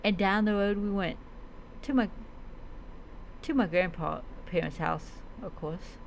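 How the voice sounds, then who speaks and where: Slow, halting woman storyteller over noise. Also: mid-range voice, vocal fry, rough recording. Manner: halting reading, often stumbles and repeats words. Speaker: role-play storyteller. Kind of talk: first-person story narration, read aloud.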